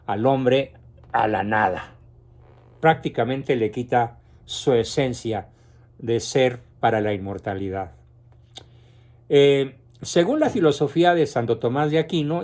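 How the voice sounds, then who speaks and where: A middle-aged man lectures on philosophy at an unhurried, very slow pace, his voice sitting in an ordinary middle pitch. He explains point by point and lifts his voice to stress key words. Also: darkish tone. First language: Spanish. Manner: slow, deliberate explanation with rising emphasis.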